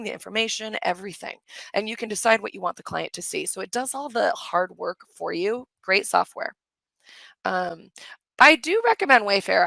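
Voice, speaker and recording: A middle-aged woman with a slightly hoarse voice, hosting a live webinar in a chatty, enthusiastic way and answering questions from the chat. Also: mid-range voice, pushed and bright, no room sound. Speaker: course host on a live webinar. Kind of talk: live webinar sales pitch and Q&A.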